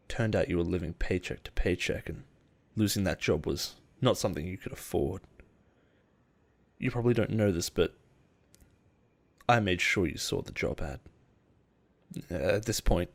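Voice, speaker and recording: A man playing an obsessive, possessive boss in love with his assistant, in an intimate audio roleplay with halting, pause-filled delivery. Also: deep voice. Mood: brooding, intimate. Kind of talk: intimate dramatic roleplay monologue.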